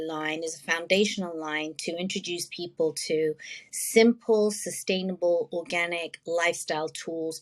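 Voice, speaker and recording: A woman, a guest answering interview questions. Her answers run on in long, flowing sentences, thoughtful and searching. Her voice sits a little lower than most. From London, England. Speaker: wellness practitioner, guest.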